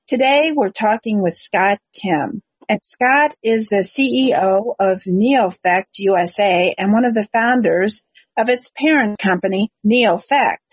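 Husky woman hosting a podcast, muffled sound. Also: medium pitch, measured pace, dry recording. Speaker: podcast host. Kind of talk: scripted introduction and interview questions. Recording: dull, lo-fi, slightly muffled audio.

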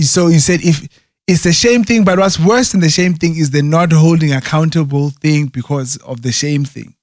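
A man's voice: a little high, no room sound, clean studio sound. A man in casual chat who rambles, restarts often and keeps checking in with "right?". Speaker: conversation partner.

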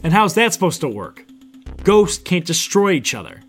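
A man's voice: sits high, sing-song, moderate pace, a bit bright, soft background music, voice pushed up, exaggerated voice. Man acting an urgent, defiant young spellcaster. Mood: urgent shouting. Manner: urgent, emphatic. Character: defiant, alarmed young spellcaster protagonist protecting a sister.

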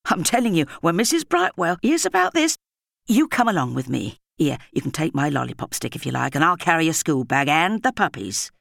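A woman's voice: low voice, sing-song, a little fast, creaky, fairly bright tone, no echo, clean studio sound, exaggerated voice. A woman voicing a pompous, bossy, self-important middle-aged man in an audiobook story. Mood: scolding, bossy. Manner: breathless spluttering and puffed-up boasting.

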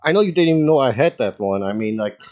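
A man's voice: mid-range voice, animated, quick pace. Male host, jokey interviewer, mid voice, muffled lo-fi recording. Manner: teasing, playful. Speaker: podcast host. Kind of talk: casual chat. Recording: dull lo-fi audio.

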